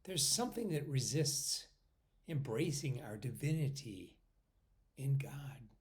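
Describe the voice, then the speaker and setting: Slow-paced man guiding a meditation. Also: medium pitch, expressive. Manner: unhurried, pausing between short phrases, often trailing off softly. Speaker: meditation guide.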